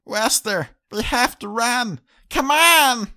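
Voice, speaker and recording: A woman's voice: fairly high pitch, animated, slow, pushed and bright, heavily acted. A woman voicing a young, loyal little female pony who pleads desperately in an audiobook drama. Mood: panicked urging. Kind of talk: audiobook character acting.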